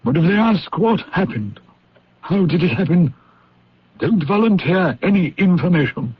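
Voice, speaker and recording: An actor playing a senior British intelligence chief in a radio drama, formal and authoritative, pausing as he gives orders. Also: sits high, very animated, a little hiss or hum. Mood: grave, instructing. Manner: formal, hesitant pauses, authoritative. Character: senior British intelligence chief, courteous but commanding knight. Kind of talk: old-time radio drama acting. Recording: muffled radio drama recording.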